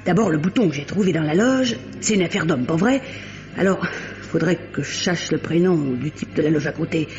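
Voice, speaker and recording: An actress playing a garrulous, working-class Parisian theatre usherette in a 1950s-style French radio mystery, talking colloquially and groping for words. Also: female voice, sits low, fairly quick, darkish tone. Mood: confiding. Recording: dull vintage radio recording with music.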